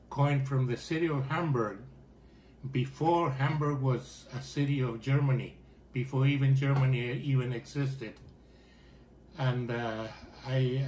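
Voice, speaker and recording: An older man from Chile with a Chilean Spanish accent, chatting at home in a halting way, full of fillers and restarts. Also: mid-range voice, a little mellow, slight background noise. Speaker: interviewee. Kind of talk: casual conversation. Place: living room at home, indoors.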